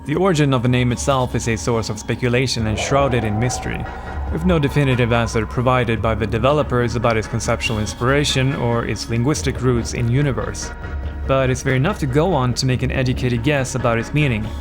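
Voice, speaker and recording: A man narrates a scripted lore piece, dropping wry jokes along the way. His voice sits in an ordinary middle register. Music plays clearly under him. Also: medium pitch, brisk. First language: Swedish. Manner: wry, playful asides. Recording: voice-over with music bed.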